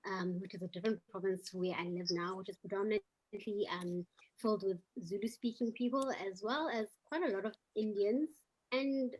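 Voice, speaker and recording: A woman with a South African accent, who grew up in KwaZulu-Natal, introduces herself as a guest in a measured way, pausing on ums. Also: mid-range voice, poor audio. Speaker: panel guest.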